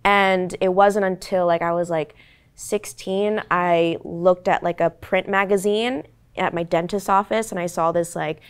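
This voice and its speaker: A woman's voice: fairly low pitch, fairly even intonation, a little slow, clear, resonant tone. A young woman actor in her twenties, whose first language was Korean, talking earnestly and reflectively on a panel with many "like"s and "um"s. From United States. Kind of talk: candid panel talk.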